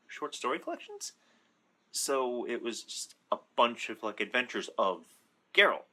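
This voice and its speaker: A man's voice: mid-range voice, pushed and bright. A man giving casual, unscripted opinion commentary in an informal, rambling way, correcting himself as he goes and sometimes swearing. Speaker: video commentator.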